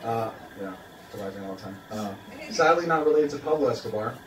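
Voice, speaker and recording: Young man doing lively stand-up. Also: medium pitch, brisk. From Queens, New York. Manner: dry, self-mocking, many ums and uhs. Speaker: stand-up comedian. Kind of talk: stand-up comedy set. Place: small open-mic café stage, indoors. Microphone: handheld mic, filmed from audience. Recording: roomy, distant phone recording with noise. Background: audience laughter and room noise.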